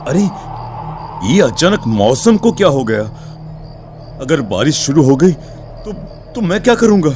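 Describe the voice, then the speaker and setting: A man voicing a worried, protective middle-aged father in an animated story. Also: on the high side, measured pace, pauses often, soft background music. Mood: startled.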